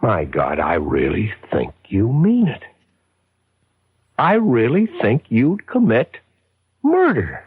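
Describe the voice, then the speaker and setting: An actor playing a horrified, shaken man in a 1970s radio drama, with a husky, breaking voice. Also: mid-range voice, wildly swooping pitch, slow, pauses often, no room sound, heavily acted. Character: horrified, shaken man facing a murderous offer. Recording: thin, phone-like old radio recording.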